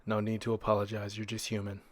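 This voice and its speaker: A man's voice: on the low side, completely flat delivery, fast, no echo. A man hosting a solo podcast in a casual, conversational way, repeating phrases for emphasis and posing rhetorical questions. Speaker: podcast host.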